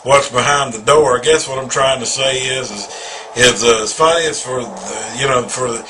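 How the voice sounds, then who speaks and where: A man's voice: mid-range voice, normal speed, noisy background. A man in his forties giving an earnest, rambling personal testimony, often repeating himself. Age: 40s. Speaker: video testimonial speaker.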